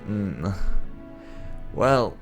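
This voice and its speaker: A man's voice: lowish voice, sing-song, very slow. A man giving let's play commentary as a gaming host, with dry, sarcastic asides and casual swearing. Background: game music and sounds.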